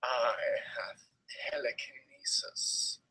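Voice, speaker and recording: A man voicing a cruel, commanding dark wizard villain with drawn-out, archaic, imperious speech. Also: medium pitch, fairly even intonation, husky, voice pushed down, exaggerated voice, rough recording. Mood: cold menace.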